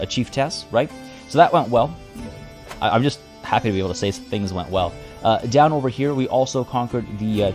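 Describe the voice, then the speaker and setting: Slightly hoarse man streaming a game. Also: lowish voice. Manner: chatty, greets chat viewers by name. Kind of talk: casual live-stream game commentary. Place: home room by a window.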